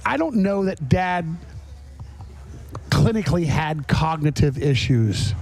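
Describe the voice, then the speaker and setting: A man's voice: on the high side, expressive, slow, a little mellow, soft background music. A middle-aged man hosting a podcast, chatting casually in a rambling, self-interrupting way. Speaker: podcast host.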